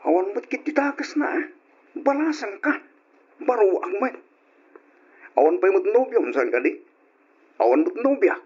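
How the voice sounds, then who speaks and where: A volatile, high-voiced young husband. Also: male voice, sing-song, normal speed, long pauses, darkish tone, husky, heavily acted. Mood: indignant, pleading. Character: hot-tempered, lazy, abusive young Ilocano husband. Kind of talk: old-time radio drama acting. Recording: dull, lo-fi old radio recording.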